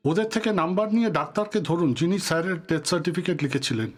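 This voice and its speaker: Radio play politician, male actor: high male voice, courteous but guarded, authoritative, a touch of reproach. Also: quick pace, natural voice. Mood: authoritative, helpful. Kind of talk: Bengali audio drama acting.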